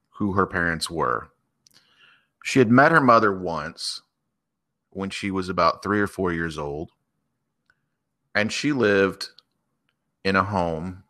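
A man with a low voice and a bright, forceful edge makes up a story as a podcast guest. He lays it out in measured phrases, pausing as he builds it piece by piece. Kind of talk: improvised storytelling.

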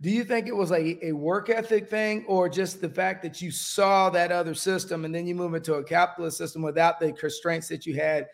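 A man's voice: high-pitched, fairly even intonation, a little fast, vocal fry, few pauses. A man hosting a podcast, talking energetically with friendly banter as he interviews his guest in a studio. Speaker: podcast host.

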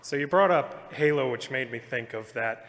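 A man's voice: medium pitch, normal speed, noisy background. A man giving an informal comment from the audience at a live panel. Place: large convention panel room. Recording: echoey convention hall microphone.

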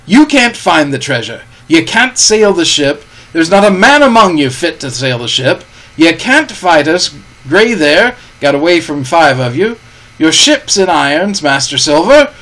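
A man voicing a proud, stern ship's captain who speaks in measured, commanding words. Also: high voice, a bit bright, voice pushed up, full character voice. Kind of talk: storybook reading.

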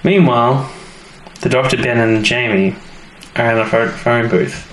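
A man's voice: mid-range voice, a little slow, a bit bright. Man chatting casually in an echoey room. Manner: informal storytelling, acts out quotes. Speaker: podcast co-host. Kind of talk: casual recap chat about a TV serial. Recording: roomy echo, faint background noise.

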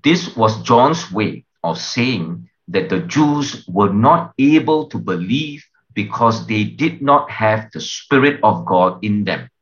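A man reads a scripted devotional in a measured, solemn, deliberate manner. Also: fairly low pitch, expressive, dull audio. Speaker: devotional reader.

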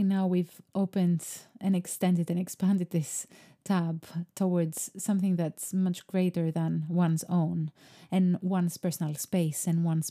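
Mellow, meditative woman with Greek roots. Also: lowish voice. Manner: slow, meditative, musing delivery. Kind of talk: reflective spoken monologue.

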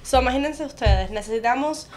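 A woman talks in a casual podcast chat. Her voice sits a little high for a woman, and she explains things at a quick clip, dropping English phrases into her Spanish as she goes. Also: darkish tone, a little hiss or hum. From Cuba. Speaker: podcast co-host.

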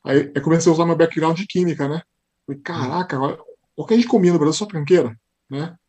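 Fast-talking, husky Brazilian man. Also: on the high side. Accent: São Paulo Portuguese. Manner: animated storyteller, acts out quoted dialogue. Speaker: podcast guest. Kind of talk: casual interview chat.

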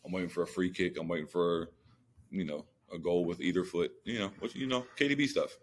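A man's voice: sits low, fairly quick. A man co-hosting a sports podcast, chatting casually and slangily about football, repeating phrases for emphasis.

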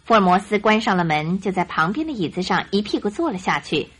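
A woman narrator giving scripted, expressive Mandarin storytelling for a radio drama. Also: medium pitch, fast, slightly rough.

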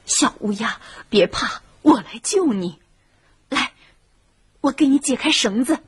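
Brief: soft, airy, reassuring bat auntie in a kids' radio play. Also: female voice, fairly high pitch, lively intonation, unhurried, slightly rough, no room sound, full character voice. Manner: gentle, reassuring. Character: kind, motherly female bat auntie. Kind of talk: children's radio play acting.

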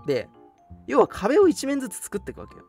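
Animated, colloquial storyteller over music. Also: high-pitched, fast, husky. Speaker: radio show host. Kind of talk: casual chat, storytelling. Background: background music.

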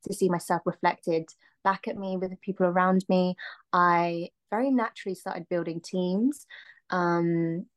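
Guest singer, woman in her thirties, slightly low voice, thoughtful and rambling delivery. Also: fairly even intonation, unhurried. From Filipina-Sri Lankan, raised in the UK. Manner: reflective, lots of "um" and "like". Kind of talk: casual interview conversation.

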